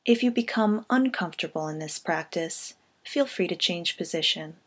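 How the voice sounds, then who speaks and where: A woman reading a scripted guided body scan meditation in a calm, gentle, measured way, softening at the ends of phrases. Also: lowish voice, breathy edge.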